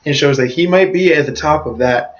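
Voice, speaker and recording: A man's voice: a little high, fairly quick. A man co-hosting a casual esports recap, giving emphatic analysis of the storylines. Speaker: co-host of an esports recap show. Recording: echoey room, dull lo-fi sound.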